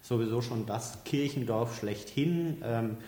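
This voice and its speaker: Ein Pfarrer aus Mittelfranken plaudert als Gast entspannt und ausführlich, die Stimme eines Mannes in mittlerer Tonlage. Er erklärt geduldig und gönnt sich ab und zu ein kurzes Lachen. Aufgenommen in einem Raum mit deutlichem Hall. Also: male voice, medium pitch, unhurried. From Middle Franconia, Germany. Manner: relaxed, explains at length, chuckles now and then. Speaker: pastor, podcast guest. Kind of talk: casual interview talk. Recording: echoey, reverberant room.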